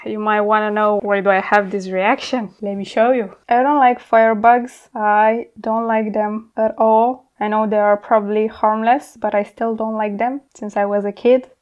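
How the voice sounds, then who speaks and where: A woman's voice: a little high, fairly even intonation, measured pace, darkish tone, dull audio. A young woman hosting a plant vlog, talking casually and candidly as she works with her hands, informal and mildly sweary. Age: young adult. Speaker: plant channel host. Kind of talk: casual hands-on tutorial chat. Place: indoor plant studio at a worktable.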